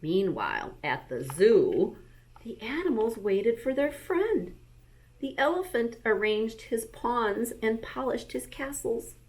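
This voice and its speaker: A woman, a school librarian, reading a bedtime story aloud to young children in an expressive, animated manner. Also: on the high side, slow pace, rough recording.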